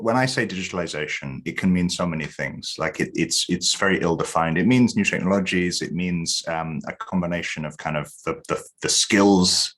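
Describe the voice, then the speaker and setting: Very low-voiced man moderating a panel. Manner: rambling, many fillers and restarts. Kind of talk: hosting a citizens' panel discussion.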